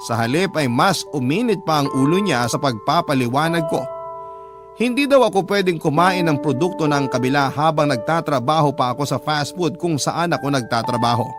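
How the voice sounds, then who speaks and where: Actor as young male fast-food worker, radio drama confessional, measured and sincere, music bed prominent. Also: a little high, lively intonation, natural voice. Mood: troubled, earnest. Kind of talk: scripted first-person radio drama narration.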